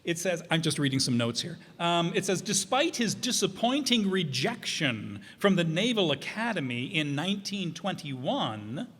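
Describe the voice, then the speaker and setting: A man introducing a show informally, reading from notes in a casual, halting, musing way. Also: high voice, fairly bright tone. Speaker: presenter introducing a radio players' show. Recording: echoey hall.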